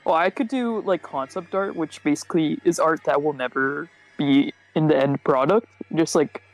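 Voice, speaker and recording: A woman's voice: sits low, normal speed, faint music behind, low-quality recording. A woman speaking casually and informally as a panel guest. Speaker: game developer, panel guest. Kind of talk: casual chat.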